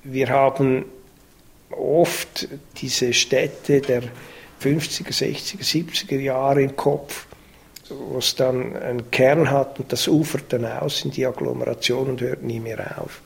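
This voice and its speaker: A man, an architect, giving a halting radio interview statement with repeated words and fillers. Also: medium pitch, steady tone, creaky. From Switzerland.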